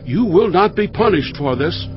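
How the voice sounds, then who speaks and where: An anguished, commanding king of ancient times in a Bible drama. His voice sits high and sounds theatrical and urgent. Faint music runs beneath the slightly dull recording. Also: lively intonation, moderate pace. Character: desperate, commanding ancient king seeking help. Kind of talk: dramatized audio Bible acting.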